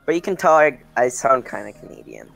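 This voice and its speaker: A man's voice: medium pitch, huge pitch swings, a little fast, vocal fry, fairly bright tone. Young man, Minnesota accent, gaming voice chat, rambling, stumbling speech, music faintly behind. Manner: rambling, stumbling over words. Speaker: gamer on voice chat. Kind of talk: casual chat while gaming.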